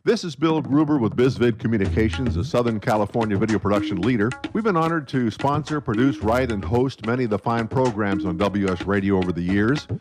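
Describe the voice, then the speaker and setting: A man's voice: lowish voice, lively intonation, brisk, few pauses. A man giving a scripted promotional message as a company spokesman. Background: music bed.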